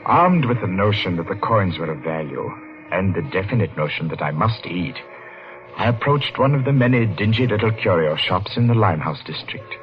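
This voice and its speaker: A man's voice: low-pitched, sing-song, moderate pace. An actor playing a haunted man recounting his boyhood in dread, in the ornate, suspenseful style of old-time radio horror. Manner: dramatic, ornate, suspenseful delivery. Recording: muffled vintage radio, music underneath. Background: dramatic music.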